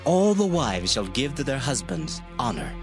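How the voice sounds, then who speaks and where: A serious old-world prince giving counsel, really lively pitch, music under it. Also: male voice. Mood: solemn. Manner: formal, measured counsel. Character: Persian prince advising the king, grave. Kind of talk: dramatized scripture reading.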